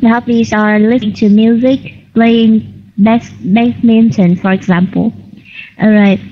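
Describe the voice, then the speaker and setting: A woman teaching an online English class, correcting students patiently and clearly. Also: fairly high pitch, fairly even intonation, unhurried. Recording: lo-fi online call audio.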